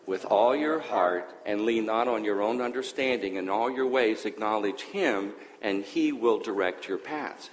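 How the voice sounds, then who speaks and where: A man's voice: on the low side, a little slow, few pauses, big-room sound, rough recording. A man born in Greece sharing his personal testimony at a church, talking conversationally with hesitant fillers.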